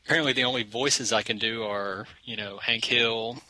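A man's voice: medium pitch, fairly even intonation, moderate pace, sharp tone, no echo, low-quality recording. A man chatting as a podcast guest, easygoing and self-deprecating. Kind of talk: casual chat.

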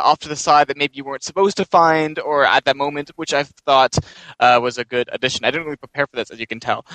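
A man's voice: on the high side, sharp tone, no echo, poor audio. A man talking casually and without preparation about games as a podcast panelist, rambling and easily flustered. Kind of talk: casual unprepared game review chat.

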